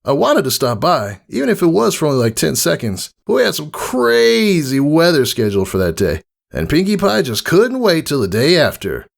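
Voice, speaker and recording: A man's voice: a little high, wildly swooping pitch, normal speed, creaky, hardly any pauses, voice pushed up, exaggerated voice. A man voicing a brash, cocky young female pegasus pony with casual, slangy talk in a storybook reading. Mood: casual, chatty. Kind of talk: character voice in fan fiction reading.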